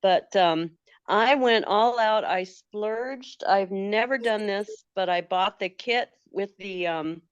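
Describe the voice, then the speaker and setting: An older woman with a cold, sounding a bit gravelly, chatting on a knitting video call. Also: medium pitch, slow, dry recording, dull audio. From Portland, Oregon, USA. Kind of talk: casual chat.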